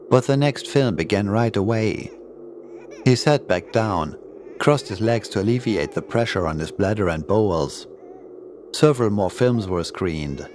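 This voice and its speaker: A man's voice: on the low side, darkish tone, slight background noise. A man reading a scripted horror story as its narrator.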